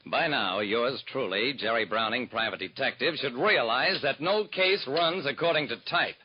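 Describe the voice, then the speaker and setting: A man's voice: medium pitch, moderate pace, slight background noise, lightly acted. An actor playing a private detective who narrates his own cases in wry, hard-boiled first person, in 1940s radio drama style, on a dull lo-fi old recording.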